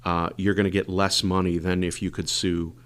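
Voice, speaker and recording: Brief hoarse male podcast host, very low voice, loose rambling delivery. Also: level tone, normal speed, a bit bright. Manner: rambling, filler-heavy explaining. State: hoarse and congested. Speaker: podcast host, injury lawyer. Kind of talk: casual podcast monologue.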